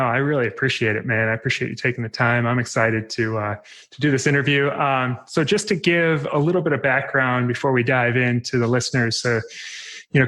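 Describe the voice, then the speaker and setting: A man's voice: medium pitch, a bit bright. A man in his thirties hosting a podcast, asking friendly, loosely phrased questions with plenty of fillers. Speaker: podcast host. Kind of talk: scripted intro, then casual interview chat.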